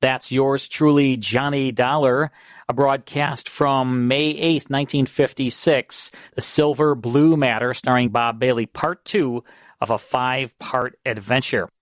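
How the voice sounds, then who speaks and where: A middle-aged male radio host talking in a relaxed, chatty way. He is close on a studio mic with a dry, dead sound. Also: medium pitch, unhurried, dry recording. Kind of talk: casual radio hosting and ad read.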